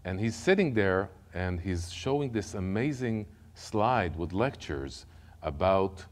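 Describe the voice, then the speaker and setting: A man's voice: low-pitched, takes it slowly. Israeli man telling his story from the pulpit. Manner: animated storytelling, repeats words as he goes. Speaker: guest speaker. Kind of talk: personal testimony sermon. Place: church sanctuary, large room.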